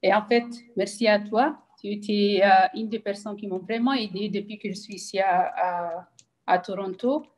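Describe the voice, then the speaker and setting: A woman's voice: medium pitch, fairly even intonation, a little mellow. A woman whose native language is Arabic speaks French with an Arabic accent, choosing her words carefully as a forum participant. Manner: careful, slow, searching for words.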